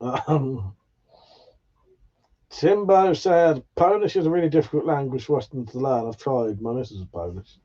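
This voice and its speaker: A man's voice: medium pitch, expressive, normal speed, warm tone, dull audio. A man from England, hosting a casual livestream, reading out chat comments in a sweary, jokey way with frequent laughs. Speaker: livestream host.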